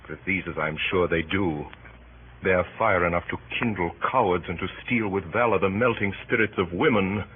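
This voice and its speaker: An actor playing a grave, idealistic Roman conspirator in a vintage radio Shakespeare production, speaking in measured oratory. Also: male voice, low voice, lightly acted. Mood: fervent, resolute. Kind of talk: 1930s-40s radio Shakespeare acting. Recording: noisy, narrow-band old radio recording.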